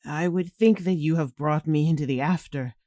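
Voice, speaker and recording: A woman's voice: deep voice, normal speed, warm tone, dry recording, clean studio sound, natural voice. A woman playing a proud, troubled royal advisor in a fantasy audio drama, terse and guarded. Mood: guarded, uneasy.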